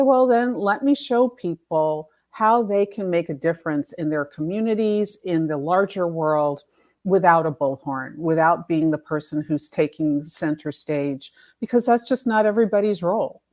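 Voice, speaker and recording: A woman's voice: on the low side, a little mellow. A woman explaining her work as an author and coach in a conversational interview, emphatic and fond of acting out example quotes. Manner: emphatic, illustrates points with imagined quotes. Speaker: guest, author and activism coach.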